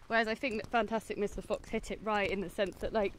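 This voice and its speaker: A woman's voice: mid-range voice, fairly even intonation, fast. A woman, casually chatting through a film review while walking outside. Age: 20s. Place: outdoors, walking on a woodland path. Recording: outdoor ambient noise.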